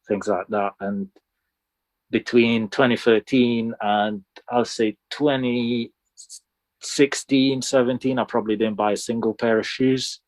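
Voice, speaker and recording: A man's voice: mid-range voice, steady tone, measured pace, no room sound. A man in his thirties from Sudan, chatting casually and telling his story as an interview guest. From Sudan, moved to the UK when young. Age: 30s. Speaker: interview guest, sneaker collector.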